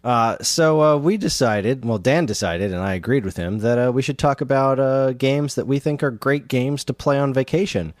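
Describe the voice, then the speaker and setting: A man's voice: mid-range voice, normal speed, few pauses, dry recording, studio quality. A man co-hosting a podcast, chatting casually and enthusiastically about video games. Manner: enthusiastic, animated. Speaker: podcast co-host.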